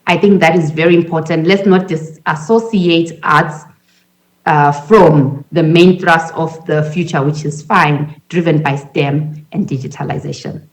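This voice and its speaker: A woman's voice: low voice, fairly even intonation, measured pace, rough recording. A woman with a Zimbabwean accent speaking off the cuff as a panelist, in an emphatic, persuasive and conversational way.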